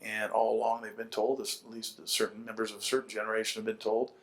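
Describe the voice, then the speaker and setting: Low-voiced man, even and opinionated. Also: fast. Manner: opinionated, emphatic repetition of key phrases. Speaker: panelist. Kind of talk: extended spoken argument at a panel discussion.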